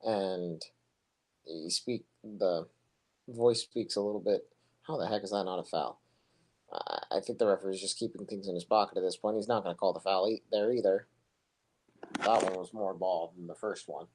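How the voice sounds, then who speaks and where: Young male soccer play-by-play voice: low, monotone, mellow and husky, relaxed and rambling. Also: moderate pace. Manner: relaxed, rambling, chatty asides between plays. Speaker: soccer commentator. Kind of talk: casual live play-by-play commentary.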